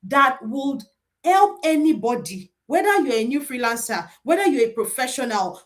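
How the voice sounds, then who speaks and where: A middle-aged Nigerian woman hosting a live online boot camp as a career coach, talking animatedly and repeating her points with prayerful encouragement. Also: sits high.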